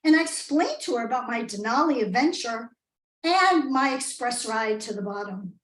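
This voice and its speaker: A middle-aged American woman giving a rehearsed, humorous storytelling speech in a theatrical manner with dramatic pauses. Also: on the high side, animated, fairly bright tone. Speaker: speech contestant. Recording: roomy, echoing live speech pickup.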